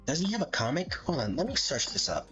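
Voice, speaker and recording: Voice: medium pitch, very animated, fast talker, a bit bright. A streamer giving casual livestream commentary, rambling and thinking aloud in an amused, casually sweary way. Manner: amused, laughs often. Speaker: live streamer. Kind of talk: casual livestream commentary, ranking game characters. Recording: dull lo-fi mic with background music. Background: background music.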